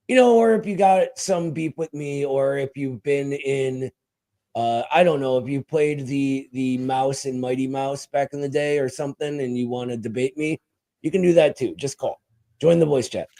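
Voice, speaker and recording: A man's voice: medium pitch, moderate pace, dark tone. A man hosting a live stream in casual chat, informal and often checking in with the listener.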